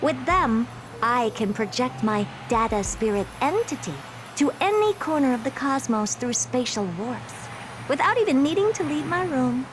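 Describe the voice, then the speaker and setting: Haughty, animated genius researcher. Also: female voice, high-pitched, noisy background. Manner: condescending, commanding, theatrical. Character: haughty, playful genius woman researcher, theatrical and self-assured. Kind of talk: video game voice acting.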